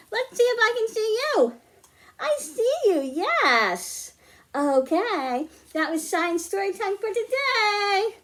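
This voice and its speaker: A woman hosting a children's storytime, speaking in simple, encouraging terms to young kids, with sing-song emphasis when reading and explaining. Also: very high-pitched. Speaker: children's librarian and storytime host. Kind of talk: children's storytime and craft demonstration.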